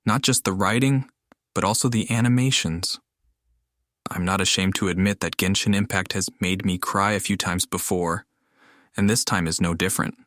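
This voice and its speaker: A man's voice: deep voice, fairly even intonation, moderate pace, a bit bright, no room sound, studio quality. A man, a gaming streamer, giving reflective personal commentary on a game he plays.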